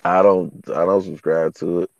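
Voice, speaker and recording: A man's voice: sits low, fairly even intonation, moderate pace, vocal fry, darkish tone. A man in an informal group debate, skeptical and blunt, swearing casually, on muffled lo-fi audio.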